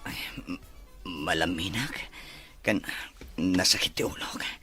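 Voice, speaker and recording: A man playing a plain-spoken married man in a domestic drama, with a rough, husky voice. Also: low voice, measured pace, pushed and bright, dry recording, soft background music, full character voice. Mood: weak, remorseful. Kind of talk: Ilocano radio-style drama acting.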